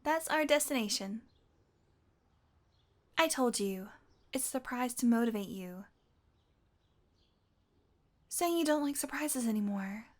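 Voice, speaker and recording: Casting: actress as possessive fantasy healer for audio roleplay. Female, high voice, condescending and coaxing, sweet with menace underneath. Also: close to an everyday voice. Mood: pleased, coaxing. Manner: condescending, teasing, coaxing, with sighs and chuckles.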